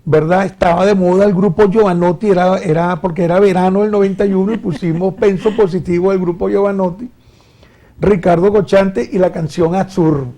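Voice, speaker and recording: Venezuelan man, mature, high-pitched for a male, gravelly creak, halting agreeable delivery, casual reminiscing, radio veteran as guest. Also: fairly even intonation, dark tone. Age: middle-aged or older.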